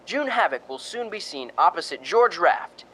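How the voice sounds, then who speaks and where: A man playing a polished 1940s radio announcer delivering a sponsor's pitch. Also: very animated, moderate pace, fairly bright tone, breathy edge, exaggerated voice. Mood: upbeat, salesmanlike.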